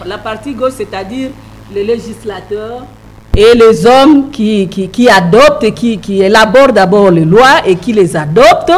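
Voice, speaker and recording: A woman's voice: medium pitch, unhurried. A woman, not a native French speaker, giving earnest, emphatic remarks as a panel speaker, with hesitant restarts. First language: a Congolese language. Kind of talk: public debate remarks.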